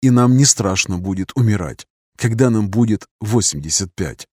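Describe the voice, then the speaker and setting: A man playing a weathered, stern but loving husband in an audiobook, speaking in a measured, slow way. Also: on the low side, lively intonation, darkish tone, dry recording, clean studio sound. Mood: wistful. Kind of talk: audiobook character reading.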